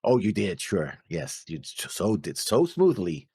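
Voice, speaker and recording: Husky low-voiced man, creaky and rambling. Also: huge pitch swings, a little mellow, no room sound, studio quality. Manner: halting, rambling, trailing off. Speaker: co-host of an anime reaction show. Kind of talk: casual banter.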